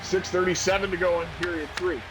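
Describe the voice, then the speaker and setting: A man calling a hockey video game play by play, getting loud and excited when a goal goes in. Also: fast talker, over music, poor audio. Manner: excitable play-by-play, jumps high on goals. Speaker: play-by-play commentator.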